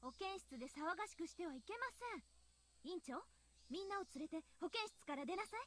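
A woman's voice: extremely high pitch, animated, very fast, fairly bright tone. An actress voicing a firm, admonishing female schoolteacher in a Japanese visual novel. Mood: scolding.

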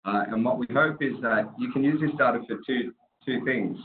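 A man's voice: medium pitch, fairly quick, a little hiss or hum. A man giving a casual, upbeat webinar presentation over a video call, often tagging on "right?". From Australia. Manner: casual, upbeat, tag questions. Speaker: startup presenter. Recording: tinny call audio.

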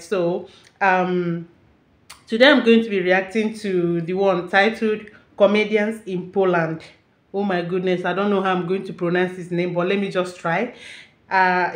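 A woman hosting a reaction vlog from a room at home, speaking effusively into an earphone mic. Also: medium pitch. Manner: effusive, exclaiming. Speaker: reaction video host. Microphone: wired earphone mic. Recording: echoey room, comedy clip playing behind.

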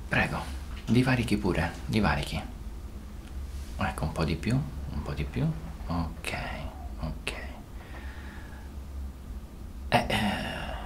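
Low, creaky whispering male doctor, acted by a man. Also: exaggerated voice. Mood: murmuring, professional. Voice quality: breathy, often whispered. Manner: slow, hesitant, murmuring with hums. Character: sly, unflappable male gynecologist examining a patient. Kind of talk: soft-spoken ASMR roleplay.

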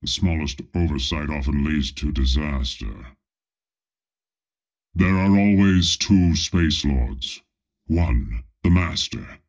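An actor playing a pompous, menacing armored space lord with a robotic body, speaking imperiously with deadpan comic asides in a video game. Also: male voice, takes it slowly, full character voice. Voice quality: deep, husky, menacing. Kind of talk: video game character voice lines.

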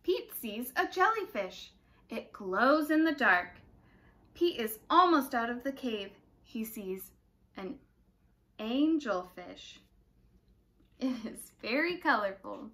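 A young woman reading a picture book aloud to small children in an expressive, dramatic way. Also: a little high, slow pace, pauses often. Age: young adult. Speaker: children's story time reader. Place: living room indoors, by a brick fireplace.

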